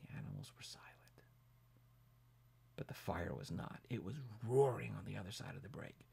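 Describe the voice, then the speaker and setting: A man telling a children's bedtime story as a pastor, building it up dramatically from hushed to emphatic. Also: low voice, moderate pace, creaky, husky, in a whisper.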